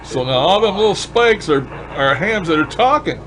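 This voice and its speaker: A man's voice: high-pitched, expressive, normal speed, crowd noise, low-quality recording. A man casually demonstrating a gadget as a hobbyist vlogger, talking in a folksy, colloquial and enthusiastic way. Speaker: ham radio hobbyist vlogger.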